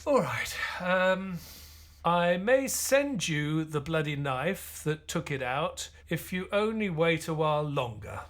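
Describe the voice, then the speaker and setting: Measured, high-pitched doctor. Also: male voice, sing-song, a bit bright, heavily acted. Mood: weary, reluctant. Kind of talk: audio play acting and narration.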